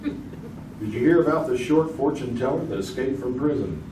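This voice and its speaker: Male quiz host actor, folksy joker, mid-pitched, old-time radio style, recorded from a distance with clear background noise. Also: expressive, normal speed, lightly acted. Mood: genial, teasing. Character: genial, joking male quiz show host. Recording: roomy, distant, noisy stage recording.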